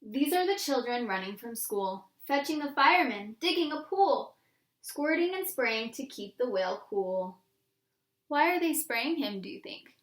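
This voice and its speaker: A woman with a high voice reads a children's story aloud in a roomy, echoing space. Her delivery is expressive and sing-song, and she stops to ask her young listeners simple questions. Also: a little slow.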